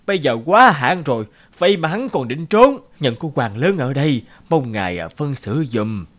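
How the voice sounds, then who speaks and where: A man voicing a cruel, rich landlord in an animated folk tale, haughty and scheming. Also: mid-range voice, normal speed. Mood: fawning. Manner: haughty, threatening, turning fawning before officials.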